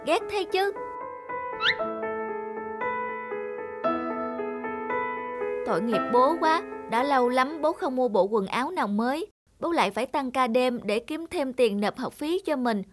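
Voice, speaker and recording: A woman voicing an earnest, polite and devoted schoolboy son in an animated moral tale. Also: high-pitched. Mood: pitying. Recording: faint music behind the voice.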